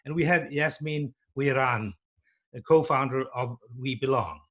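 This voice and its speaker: A man hosting and moderating an online panel discussion, introducing guests in a measured, welcoming way. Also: medium pitch, fairly bright tone. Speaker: host, moderator.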